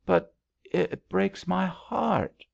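Plaintive young sweetheart, played by a male reader, raised pitch, halting, romantic storybook read, slightly dull sound. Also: lively intonation, creaky, breathy edge, heavily acted. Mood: tearful, plaintive. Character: tearful, lovestruck young woman, romantic and pleading.

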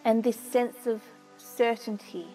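Slow, mellow woman guiding meditation. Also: fairly high pitch, rough recording. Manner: soothing, measured, with long pauses. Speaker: meditation guide. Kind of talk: guided meditation narration. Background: music.

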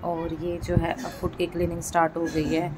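A woman's voice: on the low side, completely flat delivery, normal speed, noisy background. A woman giving a casual step-by-step vlog voice-over, explaining and rephrasing her points as she goes. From India. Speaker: vlogger.

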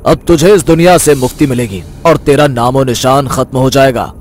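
A man voicing a powerful, stern god who protects his devotees, solemn and authoritative, in an animated devotional story. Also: medium pitch, moderate pace, slight background noise, close to an everyday voice. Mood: wrathful.

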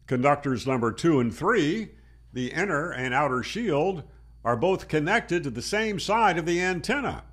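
A man over sixty giving an explanatory tutorial in an emphatic, deliberate manner, speaking into a close desk microphone. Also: on the high side, fairly bright tone, slightly rough. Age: older, over 60. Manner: emphatic, with rhetorical questions and deliberate repeats. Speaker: amateur radio channel host. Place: small plain room indoors. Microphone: desk microphone on boom arm, close.

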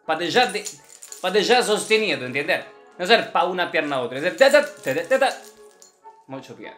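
A man's voice: high voice, very animated, brisk, fairly bright tone. A man playing a strict, blunt, theatrical Russian ballet teacher in a comic commentary, with a Russian accent and broken grammar. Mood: exacting, blunt. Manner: broken Spanish with infinitive verbs, chanted counting syllables. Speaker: ballet teacher reviewing dancers' videos. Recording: roomy echo with faint music behind.

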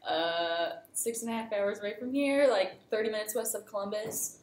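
A woman's voice: medium pitch, lively intonation, moderate pace. Young woman giving short laughing answers. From Ohio, US. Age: 20s. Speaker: guest, student. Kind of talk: casual interview answers. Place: small classroom, indoors. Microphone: camera on table in front. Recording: echoey classroom with faint noise.

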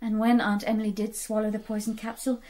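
An actress playing a well-bred, indignant young niece in a radio drama. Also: female voice, mid-range voice, flat delivery, fast talker, warm tone, close to an everyday voice. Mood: indignant, questioning.

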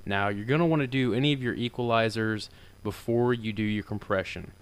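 A low-voiced man with a smooth, clean tone walks through a software tutorial. He talks informally and rambles a bit, using lots of fillers. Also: fairly quick. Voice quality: deeper voice. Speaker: tutorial maker. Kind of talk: casual screen-recorded software tutorial.

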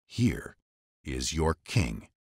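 A man voicing a troubled, weary but commanding Roman governor with deliberate, dramatic delivery in a scripture reading. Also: a bass voice, big pitch swings, breathy edge, dry recording, clean studio sound, voice pushed down, full character voice. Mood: grave.

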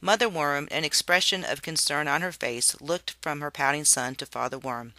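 A storybook reader giving an expressive read-aloud narration for children. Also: mid-range voice, moderate pace, no room sound.

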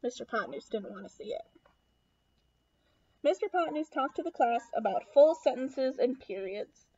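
A young woman reading a picture book aloud to children in an animated, expressive way. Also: sits high, moderate pace, slightly rough, lo-fi sound. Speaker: children's read-aloud host. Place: small room at home.

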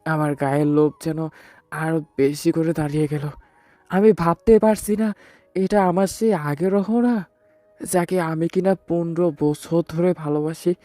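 A man's voice: on the high side, fairly even intonation, a little slow, dark tone, dry recording, faint music behind, natural voice. A man playing a betrayed, heartbroken husband who narrates his own story in a sorrowful, earnest way. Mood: sorrowful, shocked. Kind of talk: dramatic first-person audio story narration.

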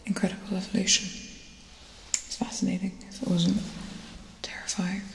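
Hushed young woman whispering, roomy echo. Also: medium pitch, monotone, gravelly. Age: young adult. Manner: hushed, slow, serious. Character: tough, caring female space officer tending wounds. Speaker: ASMR roleplay performer. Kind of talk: ASMR roleplay, whispered.

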